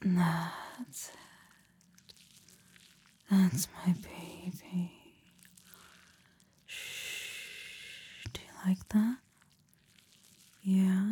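Breathy woman, ASMR whisper, slow intimate delivery, mellow tone, close mic. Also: medium pitch, steady tone. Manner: soft, slow, teasing whisper.

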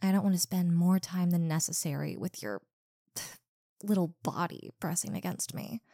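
A young woman playing a rude, insecure popular college girl in a one-sided roleplay, sharp-tongued and defensive, stumbling over her words. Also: lowish voice, normal speed, no echo, clean studio sound. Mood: hostile, irritated. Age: young adult.

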